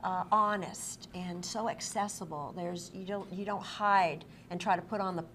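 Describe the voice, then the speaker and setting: A woman with a fairly low voice acts as interviewer. She is effusive and affirming as she talks and reads passages aloud. The live event recording carries clear ambient noise. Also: fairly even intonation, moderate pace, fairly bright tone. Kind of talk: on-stage interview with read-aloud book passages. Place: busy convention event room.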